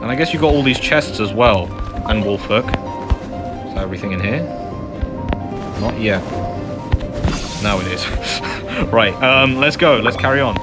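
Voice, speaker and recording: A man's voice: fairly low pitch, animated, normal speed, pauses often. A man giving casual, rambling commentary while playing a video game, with frequent fillers and muttered asides. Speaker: gaming video host. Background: game music and sound effects.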